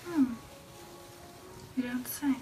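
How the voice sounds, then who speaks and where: A woman plays a refined lady vampire in an ASMR roleplay, whispering slowly and intimately in a breathy, fairly high voice. Her speech is genteel and affectionate. The voice sits a little way from the mic, with music underneath. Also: mellow, far from the mic. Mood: coy, curious. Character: refined, affectionate female vampire recounting an old shipboard romance. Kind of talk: ASMR roleplay, personal attention.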